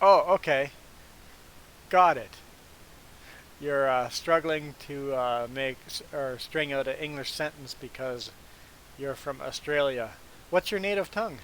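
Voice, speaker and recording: A man from Canada whose first language is Low German, chatting casually as he hosts a livestream of a farming game. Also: a little slow, pauses often, a bit bright, rough recording. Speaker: livestream gaming host. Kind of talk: casual chat while streaming a farming game. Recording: clear background hiss.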